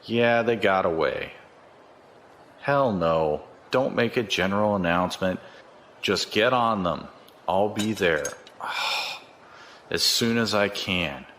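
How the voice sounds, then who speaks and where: An actor in a science fiction audio drama playing a cocky, folksy, corrupt security chief who talks in a chatty, colloquial way. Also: male voice, sits low, lively intonation, slow pace, fairly bright tone, slight background noise, exaggerated voice. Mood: irritated, commanding.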